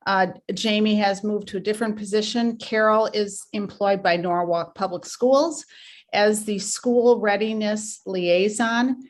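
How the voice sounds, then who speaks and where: A woman on city staff gives short, friendly remarks at a civic meeting. Her voice sits at a middle pitch, with a few ums. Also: a little slow.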